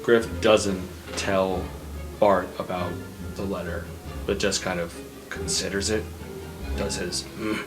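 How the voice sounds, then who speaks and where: A man narrating his character's actions as a tabletop game player, halting with trailing pauses. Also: low-pitched, little pitch movement. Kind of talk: improvised roleplay narration. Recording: music playing behind voice.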